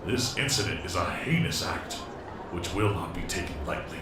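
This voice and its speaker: A stern security captain in a sci-fi audio drama. His very deep, solemn voice delivers an official statement, distant and heavily echoed. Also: lively intonation, normal speed. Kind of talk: scripted public statement. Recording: distant, very echoey broadcast, some noise.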